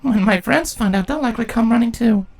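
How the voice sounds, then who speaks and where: A woman's voice: fairly high pitch, a little fast. A woman voicing a young, earnest and weary pony princess in a dramatic story reading. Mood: curious, brighter. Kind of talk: dramatic fan fiction audio reading.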